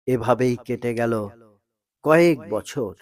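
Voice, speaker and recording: A man narrating a scripted story in a dramatic, emotive way, pausing between short phrases. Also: medium pitch, a little mellow.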